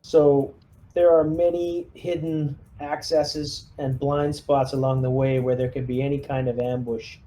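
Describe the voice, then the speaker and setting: A man with a mellow, rounded voice talks through tactics as a player in a tabletop game. He thinks aloud in a casual, collaborative way, pausing to search for words. There is some background noise in the room. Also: mid-range voice, flat delivery, low-quality recording. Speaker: player in a tabletop roleplaying game, leading the squad. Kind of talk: casual in-character tactical planning.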